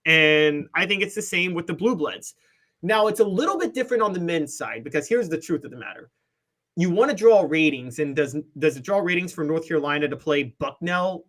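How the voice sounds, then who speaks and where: Bright opinionated man in his thirties. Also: a little high. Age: 30s. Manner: opinionated, analytical, explains at length. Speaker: sports talk co-host. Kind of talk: casual sports talk.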